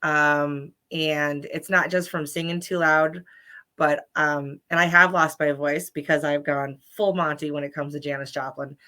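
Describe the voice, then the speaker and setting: Bright low-pitched woman healer. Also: steady tone, a little slow. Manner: bubbly, many ums and restarts. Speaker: Reiki healer and guest. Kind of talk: casual interview chat. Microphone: livestream video call.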